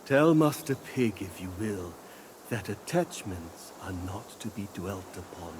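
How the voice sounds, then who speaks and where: An actor playing a grave, cryptic sage in a video game, speaking in a measured, poetic, solemn way. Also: male voice, fairly low pitch, lively intonation, a little mellow, a little hiss or hum. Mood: solemn counsel.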